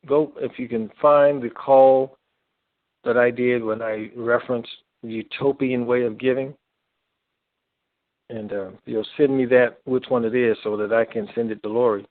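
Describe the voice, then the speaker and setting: Man, co-host of a call-in, halting casual delivery, dry and thin telephone sound. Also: mid-range voice, fairly even intonation, no room sound. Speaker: co-host reading listener questions. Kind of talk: casual conference call, reading questions aloud. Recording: narrow, lo-fi phone line.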